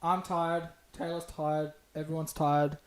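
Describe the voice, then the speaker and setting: A young Australian man with a high-pitched voice chats as a podcast host. He is excitable and loose, throwing in "bro" and swearing, and laughs often. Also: measured pace. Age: young adult. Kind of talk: casual chat.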